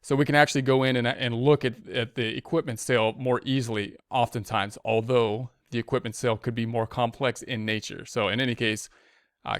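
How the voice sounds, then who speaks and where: A man's voice: mid-range voice, a bit bright, smooth, no room sound, studio quality. A man giving a step-by-step spreadsheet tutorial as an instructor, thinking aloud and often repeating his phrases. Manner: repeats phrases while working through steps. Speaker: accounting instructor.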